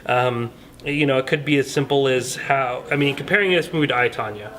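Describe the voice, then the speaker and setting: A man's voice: mid-range voice, brisk, a bit bright. A man talking through his opinions on a film in a casual, earnest, conversational way, hedging as he goes. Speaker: film review show host.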